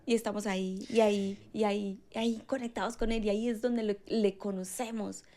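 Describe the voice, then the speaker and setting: A woman's voice: mid-range voice, steady tone, brisk, warm tone. Affirming woman co-hosting a faith podcast. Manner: affirming, finishes her husband's sentences. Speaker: co-host.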